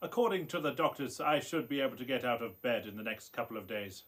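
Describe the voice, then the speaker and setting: An actor playing an intense, brooding young gentleman scientist in a radio play, with a theatrical, hesitant delivery. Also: medium pitch, fast talker, lightly acted. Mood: weary, subdued.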